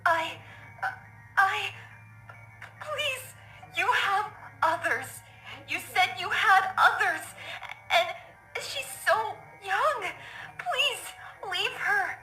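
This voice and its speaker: Frightened mare mother, very high swooping voice, halting desperate pleas, slow delivery, storybook drama. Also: expressive, bright, forceful tone, roomy sound, soft background music, heavily acted, low-quality recording. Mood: desperate pleading. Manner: halting, desperate, tearful pleading. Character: frightened, pleading mother pony, soft-natured former noblewoman.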